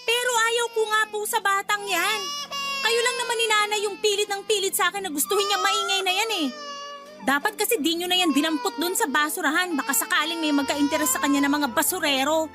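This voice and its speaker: A woman's voice: extremely high pitch, steady tone, fairly quick. A woman acting a resentful, callous young mother in a Filipino radio drama, whiny and defiant. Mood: cold, defiant. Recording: loud background music.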